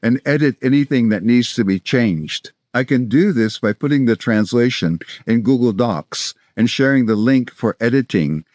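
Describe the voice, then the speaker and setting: A man in his sixties from South Florida with an American accent, presenting scripted language-learning instruction in a measured, explanatory way. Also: mid-range voice, a little mellow, no room sound. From South Florida, United States. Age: 60s. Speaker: language-learning video host, polyglot. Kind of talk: scripted instructional narration to camera.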